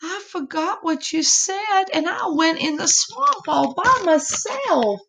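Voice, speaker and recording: A woman's voice: very high voice, animated, takes it slowly, noisy background, pitched above the performer's own voice, full character voice. A woman voicing a plucky, boastful little boy possum for a children's storybook, in eager, breathless exclamations. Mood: upset, calling out.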